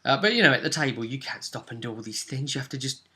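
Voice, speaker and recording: A man speaking as game master, casually recapping a tabletop role-playing session in an animated, explanatory way. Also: medium pitch, fast.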